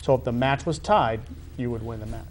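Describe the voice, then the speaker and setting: A middle-aged American man conducting a conversational sports interview, halting and wordy, often repeating phrases. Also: mid-range voice, a little hiss or hum. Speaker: interviewer, high school athletic director.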